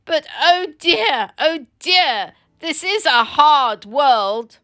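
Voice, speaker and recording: A woman's voice: high voice, lively intonation, drawn-out words, pushed and bright, pitched above the performer's own voice, full character voice. A woman voicing a vain, boastful male toad who speaks in a theatrical, self-pitying way. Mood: mournful.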